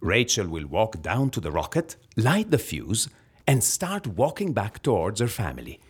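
An actor playing a proud, defensive agency underling in a comedy audio drama, a man with an Italian accent and a husky voice. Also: fairly low pitch, wildly swooping pitch, moderate pace, heavily acted. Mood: proud, dramatic.

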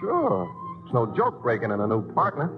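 A man's voice: mid-range voice, wildly swooping pitch, moderate pace, music in the background. An actor playing a breezy, cocky male vaudeville dancer in a 1940s radio play. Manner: breezy, wisecracking. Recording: muffled old radio broadcast.

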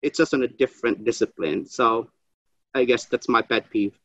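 A man from the Philippines, a nurse talking on a panel and telling stories in an animated way. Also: mid-range voice, normal speed, dry recording, highs cut off. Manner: animated storyteller, quotes others in raised voices. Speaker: nurse guest. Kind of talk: casual panel talk.

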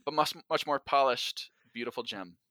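Male interviewee, medium pitch, bright forward tone, measured casual explainer. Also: no echo. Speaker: podcast guest, audio drama writer and actor.

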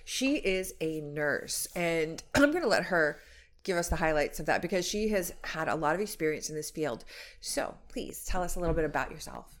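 A woman's voice: low voice, expressive, moderate pace. A woman hosting an interview with a nasal, stuffy voice from a cold, playful and bantering. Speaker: host.

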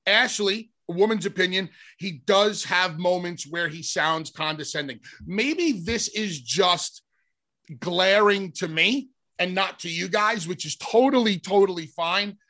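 A man's voice: high voice, unhurried, pushed and bright. A man hosting a wrestling talk show, moderating a debate in a conversational, hedging way. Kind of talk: casual sports talk.

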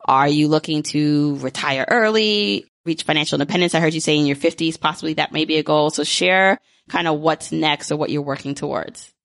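A woman's voice: low voice, fairly quick, hardly any pauses, a bit bright, no echo, studio quality. A woman in her thirties hosting a podcast, talking in an upbeat, chatty way and often leaning on "like". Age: 30s. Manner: upbeat, chatty, many "like" fillers. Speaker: podcast host. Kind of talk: casual interview and outro.

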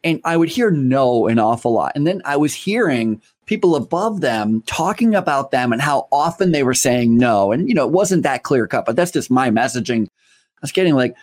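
A man's voice: mid-range voice, very animated, moderate pace, darkish tone. A man hosting an interview podcast, talking with energy and stressing his points with repeated phrases. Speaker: podcast host. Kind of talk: conversational interview.

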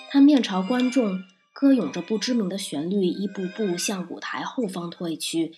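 A woman's voice: mid-range voice, a little mellow. A woman narrating a scripted first-person review as a podcast reviewer.

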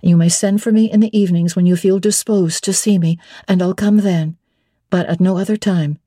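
A woman's voice: fairly low pitch, steady tone, normal speed, dark tone, no echo, clean studio sound, lightly acted. A woman voicing a stubborn young governess in a classic novel, prim and formally polite but firmly defiant. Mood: polite defiance.

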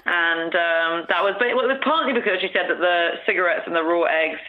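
A chatty podcast co-host who tells trivia and jokes along the way. The sound is thin and narrow, like a phone line. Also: medium pitch. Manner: chatty and quick to joke. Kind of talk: casual chat telling trivia.

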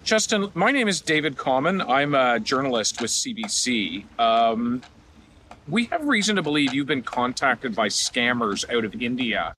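Bright-voiced male journalist giving urgent warning. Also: mid-range voice, sing-song, moderate pace, a little hiss or hum.

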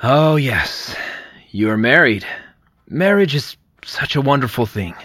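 An actor playing a smooth-talking, seductive male president in an audio drama, coaxing and persuasive. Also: medium pitch, animated, a little slow, fairly bright tone, no echo, clean studio sound. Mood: cordial.

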